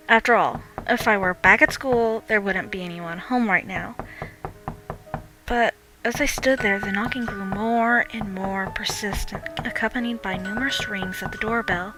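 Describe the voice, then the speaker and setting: Casting: young female horror narrator, slightly low voice, dramatic first-person reading, clear music bed. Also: moderate pace, rough recording.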